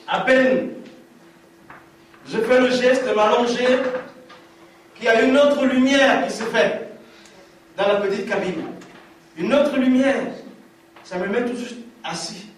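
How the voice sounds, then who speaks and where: A man's voice: very high-pitched, slight background noise. A man giving an emphatic preached testimony, repeating lines for effect, recorded from far off in a large hall. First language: African language. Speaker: testimony speaker.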